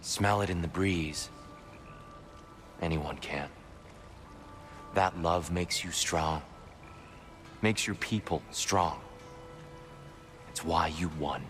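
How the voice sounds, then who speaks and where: An actor playing a gentle, weary freed prisoner god in a video game, measured, reflective and courteous. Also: male voice, low-pitched, soft background music. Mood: gentle, solemn. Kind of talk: dramatic video game acting.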